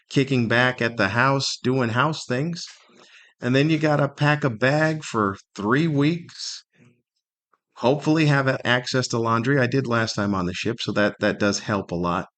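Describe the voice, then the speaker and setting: A man's voice: mid-range voice, a bit bright. A middle-aged or older man hosting a solo podcast, rambling casually with wry humor and plenty of rhetorical questions. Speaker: podcast host.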